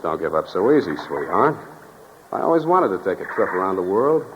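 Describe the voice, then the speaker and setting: Wry 1940s radio private eye, muffled recording. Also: male voice, low voice, big pitch swings, brisk, a little hiss or hum. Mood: wry, taunting. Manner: wisecracking, sardonic, calls women baby and sweetheart. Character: wry, tough, smooth-talking male private detective. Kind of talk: 1940s radio detective drama acting.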